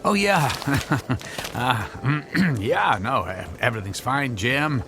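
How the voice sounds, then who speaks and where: An actor in a radio drama playing a meek, apologetic inventor husband who stammers and speaks haltingly. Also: male voice, mid-range voice, lively intonation, noisy background. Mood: awkward, evasive.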